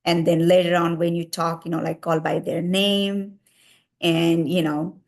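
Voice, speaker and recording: A woman in her thirties speaking English with a Nepali accent, her voice sitting a little low. She gives casual, friendly tips straight to the viewer, filling her sentences with "you know" and "like.". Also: flat delivery, unhurried. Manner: heavy use of fillers "you know" and "like". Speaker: vlogger giving advice. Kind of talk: casual advice talk.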